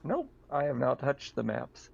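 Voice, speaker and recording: A man running a tabletop game as game master, talking casually through rules in a halting, agreeable way. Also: medium pitch, huge pitch swings, creaky, darkish tone, slightly rough, lo-fi sound.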